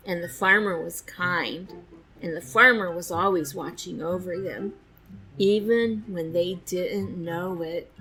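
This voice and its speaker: A woman narrating a children's storybook with expressive, deliberate phrasing. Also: on the low side, breathy edge, rough recording.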